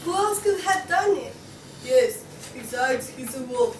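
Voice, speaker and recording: Snappy, suspicious pig juror, played by a woman, very high voice, echoey room. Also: expressive, unhurried. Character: bossy, suspicious pig juror who distrusts wolves. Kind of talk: student table read of a comic play. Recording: echoey room, distant mic, faint noise.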